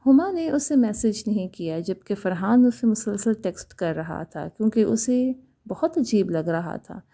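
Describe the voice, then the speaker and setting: A woman's voice: medium pitch, expressive, normal speed, creaky, mellow. A woman reading a scripted romantic story aloud as a storyteller.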